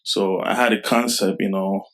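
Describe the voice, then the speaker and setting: A young man from Cameroon, raised in Germany, a rapper answering interview questions in a soft, halting way with many fillers. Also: low-pitched, steady tone. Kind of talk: casual interview talk.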